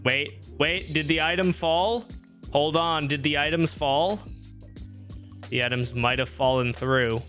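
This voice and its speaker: A man's voice: a little high, unhurried, a bit bright, soft background music. A young man streaming a game, rambling and joking at himself as he thinks aloud. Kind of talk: casual live-stream gameplay talk. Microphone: streaming microphone.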